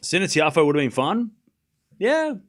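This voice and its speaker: A man hosting a livestream, giving excitable live tennis commentary in short clipped phrases, mixed with casual chat. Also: fairly high pitch, normal speed.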